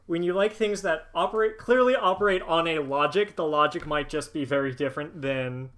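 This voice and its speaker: A young man joining a livestream as guest, supportive and quick to laugh, often starting his sentences over. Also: fairly high pitch, background noise. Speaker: guest co-host. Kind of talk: casual livestream chat.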